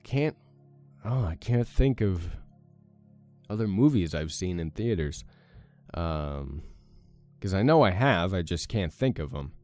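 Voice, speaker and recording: A young man with a deep morning voice hosting a let's play, chatting casually with lots of fillers. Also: expressive, slow pace, long pauses, a little mellow, soft background music. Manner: rambling, many fillers, self-deprecating. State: morning voice with a crack. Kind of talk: casual gameplay commentary.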